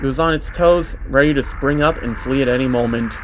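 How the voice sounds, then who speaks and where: A man's voice: mid-range voice, brisk, a little hiss or hum. Man narrating a story, slightly dull audio. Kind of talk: scripted dramatic story narration. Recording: dull lo-fi audio under music.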